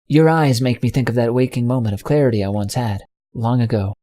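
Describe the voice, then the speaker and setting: A gentle, dreamy stallion pony, as a man reading a story plays him speaks softly and earnestly. His voice stays at a middle pitch, wistful and unhurried. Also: lightly acted.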